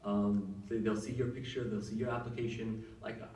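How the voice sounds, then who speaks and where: A man's voice: on the low side, flat delivery, a little fast, mellow, slight background noise. A young man from Saudi Arabia, a doctor giving casual advice to students, talking conversationally with many fillers and restarts. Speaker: workshop speaker, doctor. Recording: echoey room, mic at a distance.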